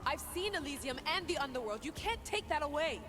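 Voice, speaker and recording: A woman's voice: high-pitched, lively intonation, a little fast. An actress playing a determined young woman in a video game, urgent and pleading. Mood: defiant. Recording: game audio with reverb and music.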